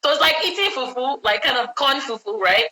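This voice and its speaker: A woman hosting a casual interview, born in Nigeria, lively and teasing, slipping into Pidgin, heard over a live video call. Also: sits high, fairly quick, roomy sound, crowd noise, low-quality recording. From born in Nigeria, moved abroad young. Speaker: interviewer, host. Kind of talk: casual chat.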